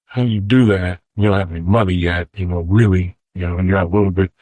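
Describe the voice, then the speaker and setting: A man, co-hosting a podcast and telling a rambling, relaxed story. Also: sits low, quick pace, no room sound. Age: 40s. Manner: relaxed, rambling, says "bro". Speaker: podcast co-host. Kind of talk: casual storytelling chat.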